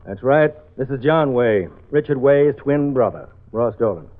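An actor playing a brisk, businesslike police detective with a curt, probing manner in a vintage radio drama. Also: male voice, medium pitch, animated, close to an everyday voice. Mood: official, dry. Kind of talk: 1940s-50s radio drama acting. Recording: tinny old radio recording, faint music.

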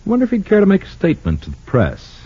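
Husky, animated newspaperman. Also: high-pitched, fast, exaggerated voice. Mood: inquisitive. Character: casual, inquisitive newspaperman, wry and easygoing. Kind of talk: 1940s-50s radio drama acting. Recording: dull lo-fi old radio recording.

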